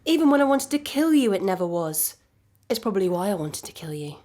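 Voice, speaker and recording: Calm, wry ex-girlfriend. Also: mid-range voice. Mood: frank, tender. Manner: measured, dry, matter-of-fact. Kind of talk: naturalistic audio drama acting.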